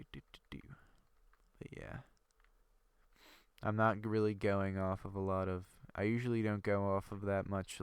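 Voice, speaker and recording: A man's voice: deep voice, creaky, smooth, dry recording. A young man with a stuffy nose from a cold, rambling and thinking aloud with lots of fillers while commenting on his game. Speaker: gaming video creator. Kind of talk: casual gameplay commentary.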